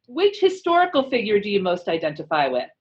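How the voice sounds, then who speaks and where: A woman's voice: mid-range voice, big pitch swings, brisk, muffled recording. A woman interviewer speaking in an articulate, reflective way. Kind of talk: conversational interview.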